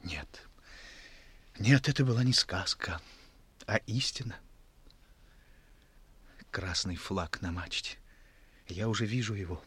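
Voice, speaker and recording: An actor playing an earnest, wistful schoolteacher, solemn and hesitant, in a 1960s Soviet radio drama. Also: male voice, lowish voice, takes it slowly, pauses often, fairly bright tone, husky, heavily acted. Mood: solemn wonder. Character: earnest, wistful village schoolteacher, gentle with children.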